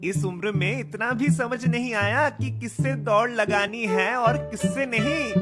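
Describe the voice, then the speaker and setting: A woman's voice: on the high side, a little slow, lightly acted. A woman voicing a boastful young male hare in a children's cartoon, cocky and teasing. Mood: gloating. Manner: cocky, teasing, laughs often. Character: boastful, gloating young male hare who mocks rivals. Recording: music bed behind voice.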